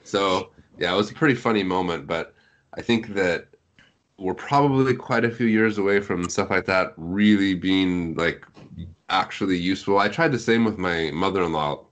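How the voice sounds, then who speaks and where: A man's voice: low voice, fairly bright tone. A man talking casually as a guest on a podcast, sharing stories in an informal, conversational way. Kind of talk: casual chat, telling anecdotes.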